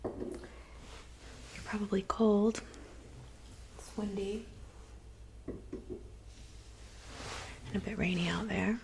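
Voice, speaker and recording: A woman playing a calm, helpful woodland witch in a soft, whispered ASMR roleplay, unhurried and murmuring. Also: mid-range voice, gravelly, low-quality recording. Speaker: ASMR performer.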